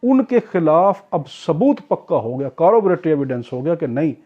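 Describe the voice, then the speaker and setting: Higher-voiced Pakistani man lecturing, muffled recording. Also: big pitch swings, moderate pace. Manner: didactic, asks questions and answers them himself. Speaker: law teacher, lecturer. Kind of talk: explanatory lecture with acted-out examples.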